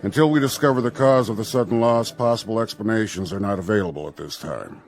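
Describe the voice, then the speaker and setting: Actor as a low-voiced, stern military general. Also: male voice, close to an everyday voice. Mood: grave, guarded. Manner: deliberate, formal, official. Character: stern, measured male Air Force general in authority. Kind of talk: radio drama acting.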